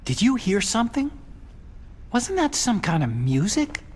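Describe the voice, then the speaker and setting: An actress voicing an uneasy, questioning female video game character with a husky voice. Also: a little high, very animated, a little slow, a little mellow, full character voice. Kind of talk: dramatic video game voice acting.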